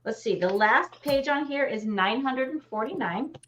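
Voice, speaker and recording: High-voiced woman co-hosting, slightly dull audio. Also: animated, moderate pace, a bit bright. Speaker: co-host. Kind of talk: casual live show chat. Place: furnished room at home, indoors.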